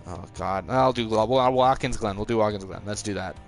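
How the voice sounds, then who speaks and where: A man's voice: on the low side, vocal fry, faint music behind. A nineteen-year-old man casually chatting on a live stream while playing a racing game, rambling and reacting to the race. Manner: chatty, rambling, quick to frustration, chuckles. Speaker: gaming streamer.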